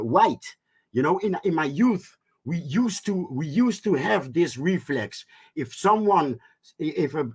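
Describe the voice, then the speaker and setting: A man with a Dutch accent talking in a casual panel discussion, halting with many restarts. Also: a little high, breathy edge. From Netherlands. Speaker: panel guest.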